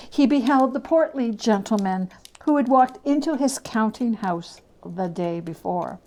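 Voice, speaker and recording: A woman narrating a classic story as a dramatic reading, with expressive, deliberate phrasing. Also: fairly high pitch, low-quality recording.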